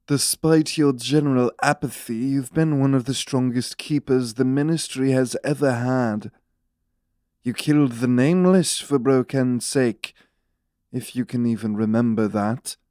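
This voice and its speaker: An actor playing a government minister in his thirties in a fantasy audio drama, with a noble upper-class accent and a measured, formal manner. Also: mid-range voice, mellow, no echo, studio quality, close to an everyday voice. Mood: cool, matter-of-fact. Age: 30s.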